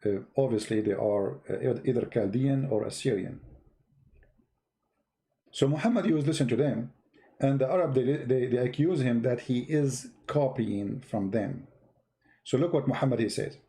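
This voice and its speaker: Mellow man, mocking and challenging. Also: medium pitch, moderate pace. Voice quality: non-native English grammar. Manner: mocking, challenging, repeats phrases and rhetorical questions. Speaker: live-stream host, religious polemicist. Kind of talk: informal argumentative live-stream monologue.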